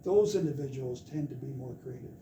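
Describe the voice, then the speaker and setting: Guy explaining things step by step, measured, far from the mic in an echoey noisy room. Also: mid-range voice. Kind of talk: explanatory seminar talk. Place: lecture room.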